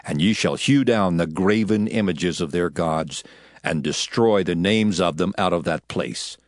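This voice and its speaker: An actor playing a stern prophet delivering divine law in a dramatized scripture reading, emphatic and declamatory. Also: male voice, low-pitched, unhurried, dry recording, clean studio sound. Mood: stern, commanding. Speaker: actor in dramatized audio Bible.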